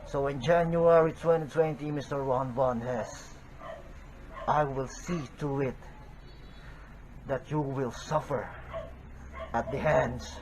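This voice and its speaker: A man from the Philippines, a pro wrestler cutting a slow, deliberate, menacing promo against a rival. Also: mid-range voice, steady tone, a little mellow, slight background noise, low-quality recording.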